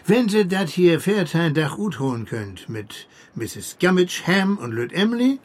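Husky, hearty North German fisherman. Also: high voice, very animated, unhurried, full character voice. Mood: hearty, kindly. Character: hearty, rough-mannered, good-natured seaside fisherman. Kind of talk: radio drama acting.

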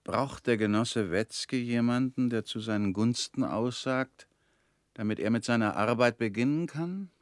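An actor in a radio drama playing a comrade who asks quiet, probing questions. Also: male voice, medium pitch, measured pace, no room sound, studio quality, natural voice.

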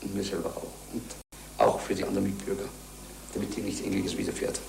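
In an echoey room, a man answers an interviewer tersely and in measured phrases. His voice is extremely creaky and gravelly. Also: low-pitched, flat delivery, darkish tone. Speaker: dentist. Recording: echoey, slightly noisy film soundtrack.

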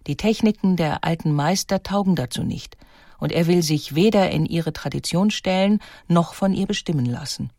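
A woman's voice: fairly low pitch, moderate pace, mellow, no echo, studio quality. A woman reading scripted documentary narration in an articulate, composed manner.

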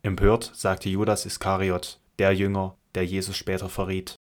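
A man narrating a scripted Bible audio drama in a measured, solemn storytelling manner. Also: sits low, monotone.

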